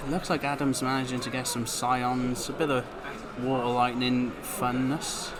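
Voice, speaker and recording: A man's voice: medium pitch, flat delivery, moderate pace. Welsh guy, cardiff accent, chatty commentary, crowd noise around him. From Wales. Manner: chatty, restarts and stammers. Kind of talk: casual stream commentary.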